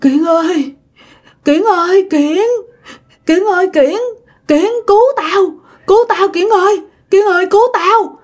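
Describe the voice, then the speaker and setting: A woman storyteller plays a frightened uncle in a ghost story, his voice pitched very high and swinging widely. He is desperate and badly shaken, saying the same short pleas over and over. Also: very animated, fast, few pauses, fairly bright tone, full character voice. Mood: panicked pleading. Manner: repeats pleas over and over in panic. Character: terrified uncle shaken by a ghost, pleading for rescue.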